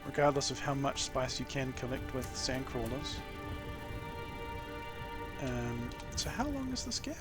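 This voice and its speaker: A man from New Zealand with a New Zealand accent, giving relaxed, rambling gameplay commentary full of ums, his sentences often rising at the end. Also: mid-range voice, unhurried, pauses often. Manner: sentences ending with an upward inflection. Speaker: gaming YouTuber. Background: epic game soundtrack music.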